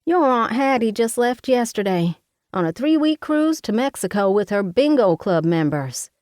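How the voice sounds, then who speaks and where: A woman's voice: on the high side, big pitch swings, moderate pace, darkish tone, no echo, studio quality, pitched above the performer's own voice. A woman voicing a fussy, outspoken older mother, talking in a folksy, scolding way. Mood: fussing.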